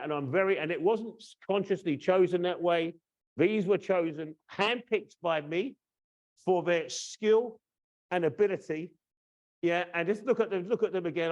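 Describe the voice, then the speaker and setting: A man in his fifties from the UK hosting a training webinar over a video call, chatty and teasing, often checking in with "yeah?". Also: on the high side. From United Kingdom. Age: 50s. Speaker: course trainer and webinar host. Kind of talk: casual webinar presentation.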